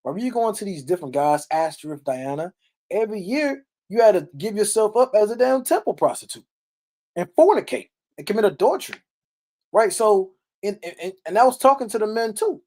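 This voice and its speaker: A man's voice: high-pitched, lively intonation, fairly quick, darkish tone. A man giving read-along Bible teaching, reading verses and explaining them in informal, emphatic speech peppered with check-in tag questions. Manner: emphatic, frequent tag questions like "right?" and "okay?"; colloquial, informal preaching.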